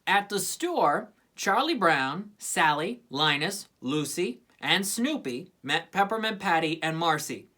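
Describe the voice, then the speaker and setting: Lively woman reading a storybook. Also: lowish voice, slow pace, few pauses. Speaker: storybook reader. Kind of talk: expressive scripted storybook narration.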